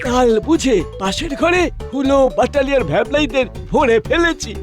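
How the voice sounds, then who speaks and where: An actor voicing a squeaky, cocky crook's sidekick in a comic cartoon, boastful and excitable. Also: moderate pace, faint music behind.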